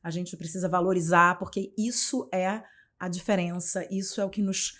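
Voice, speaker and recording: Carioca woman in her forties, journalist guest on an interview show, expressive and chatty, often restarting phrases and adding tag questions. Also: lowish voice, moderate pace. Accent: Carioca, Rio de Janeiro. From Rio de Janeiro, Brazil. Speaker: guest, journalist and communication mentor. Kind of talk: casual interview conversation.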